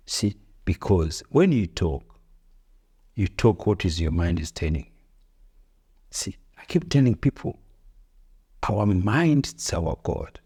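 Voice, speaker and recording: Middle-aged Rwandan-accented man, fairly low voice, earnest motivational co-host who repeats himself. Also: very animated, slow, long pauses, dark tone, husky. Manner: earnest, preachy, repeats phrases, broken English. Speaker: podcast co-host, father. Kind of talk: casual motivational chat.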